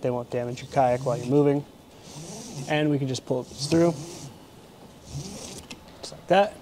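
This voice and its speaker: A man's voice: medium pitch, darkish tone, a little hiss or hum. A young man in his twenties casually explaining a kayak carrier install step by step while working around a vehicle in an indoor garage. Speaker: product installer and presenter. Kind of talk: product installation demo.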